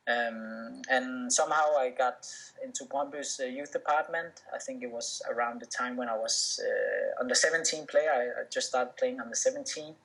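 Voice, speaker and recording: A man's voice: mid-range voice, moderate pace, gravelly, a bit bright, rough recording. A young man, a native Danish speaker, answering interview questions casually, with many fillers and restarts. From Denmark. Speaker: professional footballer, interview guest.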